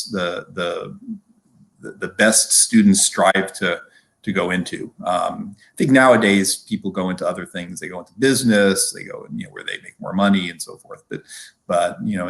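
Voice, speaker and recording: A middle-aged man with a low voice talks as an interview guest, casually recounting his own life. He speaks in a loose, rambling way, often repeating words as he finds the next phrase. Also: vocal fry, a bit bright. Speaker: physician-scientist guest. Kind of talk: casual personal storytelling in conversation.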